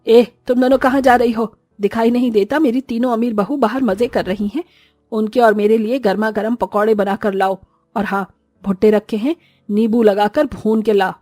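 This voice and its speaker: A woman's voice: fairly high pitch, fairly even intonation, moderate pace, dark tone, dry recording, faint music behind, close to an everyday voice. A woman voicing a haughty, scornful older rich mother-in-law who scolds and orders people about in a moral-story cartoon. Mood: bossy. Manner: scolding, imperious.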